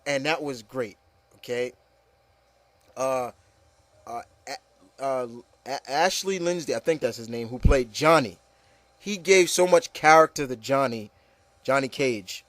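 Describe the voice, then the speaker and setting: A man's voice: mid-range voice, a little slow, pauses often, fairly bright tone, dry recording. A man giving casual, rambling opinion commentary, repeating phrases and addressing listeners emphatically. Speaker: video commentator.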